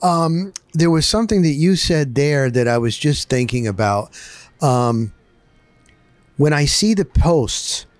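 A middle-aged man interviewing conversationally, with halting, emphatic phrasing. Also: on the high side, expressive, no echo, faint music behind. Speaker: interviewer, sports broadcaster.

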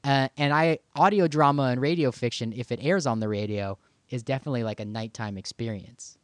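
A man's voice: mid-range voice, fairly quick, dry recording. A man co-hosting a radio show, talking in a conversational discussion style.

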